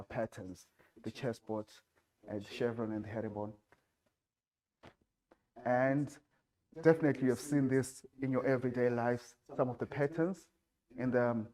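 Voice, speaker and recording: A man from Zimbabwe giving a design talk with slides in a lecture hall, speaking haltingly with many fillers and restarts. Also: mid-range voice, a little mellow. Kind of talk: presentation with slides.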